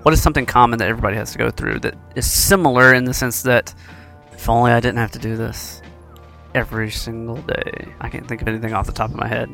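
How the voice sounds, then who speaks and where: A man's voice: mid-range voice, fairly even intonation, quick pace, creaky, fairly bright tone, soft background music. A man hosting a discussion show, asking probing questions in a casual way and often restarting his sentences. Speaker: show host and roundtable moderator.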